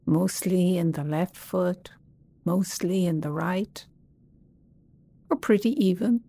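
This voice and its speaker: A woman leading a guided body scan meditation as a teacher, speaking gently and soothingly with long pauses. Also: fairly low pitch, vocal fry.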